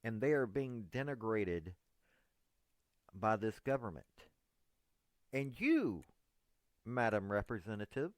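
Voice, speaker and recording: A man's voice: mid-range voice, clear, resonant tone, no room sound. A man in his fifties from East Tennessee with a Southern accent, talking straight to camera in a folksy, meandering, earnest way. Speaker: political commentator. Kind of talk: direct-address monologue to a politician.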